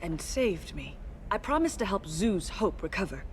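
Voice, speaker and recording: An actress playing an earnest, polite alien woman in a video game, speaking in a measured, diplomatic manner. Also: lowish voice, sing-song, slight background noise. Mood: hesitant, grateful. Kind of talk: video game dialogue acting.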